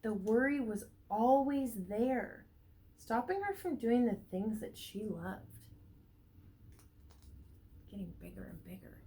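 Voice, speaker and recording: A woman teacher reads a picture book aloud to young children, stretching words for emphasis and pausing to ask them questions. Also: a little high, animated, vocal fry, dark tone. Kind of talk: read-aloud storytelling with asides and questions to young listeners.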